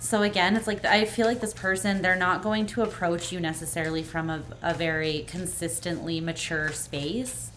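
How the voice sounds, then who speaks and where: A woman with a fairly low voice gives a tarot reading straight to the viewer. She talks in an earnest, reassuring way, full of "like" and "um". Her voice is going hoarse, so she keeps clearing her throat. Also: steady tone, normal speed, few pauses, background noise. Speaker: tarot reader. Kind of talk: pick-a-card tarot reading, speaking to the viewer.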